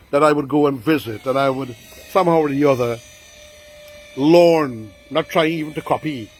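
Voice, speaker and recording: A man's voice: on the high side, slight background noise, low-quality recording. A man, speaking to viewers in a grand, ornate monologue with lots of repetition. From Guyana. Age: 60s. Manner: grandiloquent, ornate word choice, repeats for emphasis. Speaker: online show host.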